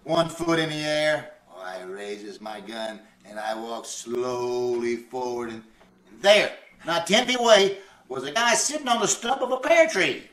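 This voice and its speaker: A man's voice: medium pitch, animated, a little slow, a bit bright. A man playing a folksy, boastful, bumbling husband in old-time radio comedy style, blustering and stammering. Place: indoors on a live Zoom call. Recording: echoey, distant, noisy video call.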